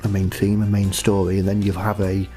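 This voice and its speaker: A man's voice: deep voice, monotone, fairly quick, mellow, soft background music. A man chatting casually in an interview as an audio drama writer, explaining things in a conversational way.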